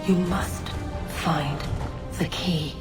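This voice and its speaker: Solemn game goddess, commanding, drawn-out words, echo, loud music. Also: female voice, fairly low pitch, steady tone. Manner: very slow, portentous. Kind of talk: video game voice acting, portentous. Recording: echoing voice under loud music.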